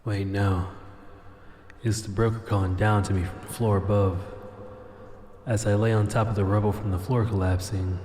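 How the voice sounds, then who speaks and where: A man playing a wry, reckless cyberpunk operative, narrating his own adventure in an audio drama with deadpan theatricality. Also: lowish voice, normal speed, pauses often, a little hiss or hum, natural voice. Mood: musing, wry. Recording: roomy reverberant voice over music bed.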